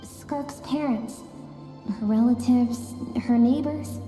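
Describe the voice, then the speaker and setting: An actress playing a gentle, wistful female storyteller in a video game, narrating softly with echoing reverb. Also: on the high side, slow pace. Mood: somber. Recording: echoing, long reverb over music.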